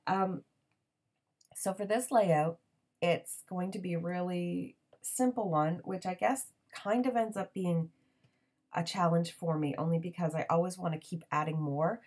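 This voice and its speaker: A woman in her thirties hosting a crafting channel, chatting casually in a voiceover with a raspy morning voice, full of ums and little laughs. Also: on the low side, fairly even intonation, unhurried, a little mellow.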